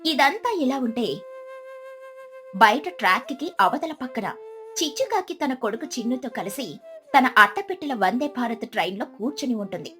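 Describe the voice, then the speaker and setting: A woman narrating a scripted children's story in an expressive storytelling manner. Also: a little high, normal speed, faint music behind.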